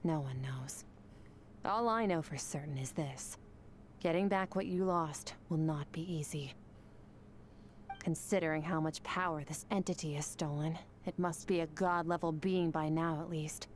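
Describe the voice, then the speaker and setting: A woman's voice: sits low, measured pace, slight background noise. A woman voicing a cold, aloof female warrior who speaks in a curt, detached manner, in game dialogue.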